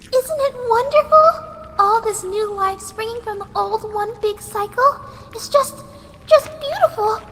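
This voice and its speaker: A woman voicing a sweet cartoon pegasus pony, gentle and slow, with a serene, fanatical menace. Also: very high-pitched, slight background noise, pitched above the performer's own voice, full character voice. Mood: rapturous, eager. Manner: slow, gentle, lovingly eerie delivery. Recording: long reverb over background music.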